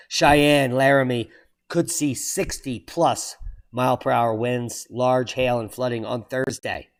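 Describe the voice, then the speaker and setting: A man hosting his own channel, reading weather and earthquake headlines aloud and breaking off for sardonic asides and sudden exclamations. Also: medium pitch. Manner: exclaims loudly at surprising items. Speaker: channel host reading weather and geology news. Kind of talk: solo commentary reading headlines.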